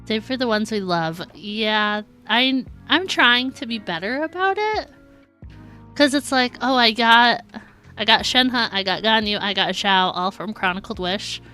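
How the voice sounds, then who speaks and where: A woman's voice: fairly high pitch, a little slow, sharp tone, clean tone. Chatty woman streamer with music behind. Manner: chatty, giggly, informal. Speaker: live streamer. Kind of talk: casual gaming livestream chat.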